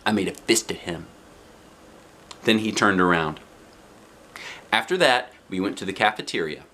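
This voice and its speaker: A man reading a children's storybook aloud in an expressive narrating style with short, simple phrases. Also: low-pitched, moderate pace. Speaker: storybook reader.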